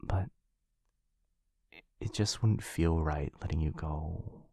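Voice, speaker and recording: A man playing a tender, devoted vampire lover in an intimate romantic ASMR roleplay, speaking softly and haltingly with a breathy, often whispered voice. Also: extremely low pitch, no room sound, full character voice. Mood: tender confession. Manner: soft, halting, earnest delivery.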